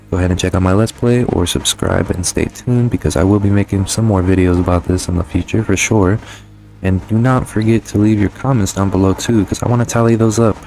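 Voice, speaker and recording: Deep-voiced sweary man reviewing games. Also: darkish tone. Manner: informal, heavily sweary. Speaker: gaming YouTuber. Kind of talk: casual video game review commentary.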